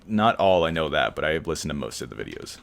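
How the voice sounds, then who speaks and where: A man hosting a casual podcast chat, who edits the show, rambling along and describing his own voice as monotone. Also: rumbling low, fast, vocal fry. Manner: relaxed, rambling, says he has a monotone voice. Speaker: podcast host and editor.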